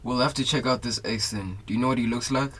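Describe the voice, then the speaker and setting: A young South African man playing a steady, supportive police detective in a radio drama. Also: medium pitch, quick pace, slightly rough. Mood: businesslike. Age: young adult.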